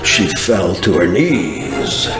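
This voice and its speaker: A man's voice: low voice, very animated, very slow, heavily acted. A man playing an anguished evangelist who recounts an apocalyptic vision in fervent, theatrical first-person narration. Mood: mournful awe. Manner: theatrical, fervent, swelling and falling dramatically. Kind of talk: dramatized first-person narration over music. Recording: echoing voice under loud music.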